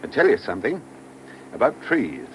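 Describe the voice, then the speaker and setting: An actor playing a genial, teasing, authoritative British chief inspector in a vintage-style radio play. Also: male voice, mid-range voice, big pitch swings, moderate pace. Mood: musing. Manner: jocular, assured, gently ribbing. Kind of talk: mid-20th-century British radio play acting. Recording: noisy vintage radio drama recording.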